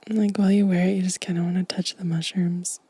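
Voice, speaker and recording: A woman whispering gently and softly in an ASMR show-and-tell style, breathy and unhurried. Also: on the low side, flat delivery, no room sound. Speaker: ASMR video creator.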